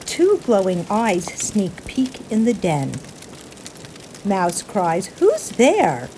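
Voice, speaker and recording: A woman reading a children's picture book aloud in an animated, deliberate storytelling manner with playful emphasis. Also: mid-range voice, slight background noise. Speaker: storybook reader.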